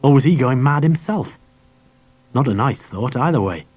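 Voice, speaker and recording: Husky male storyteller, close and dry. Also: mid-range voice, expressive, moderate pace, darkish tone, no echo. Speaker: audiobook narrator. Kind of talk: scripted children's story narration. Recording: dry cassette audiobook transfer.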